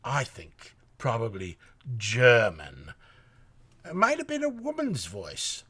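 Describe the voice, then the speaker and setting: An actor in a live radio drama playing excitable, halting foreign witnesses with emphatic, theatrical delivery. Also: male voice, mid-range voice, breathy edge, full character voice. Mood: earnest, agitated.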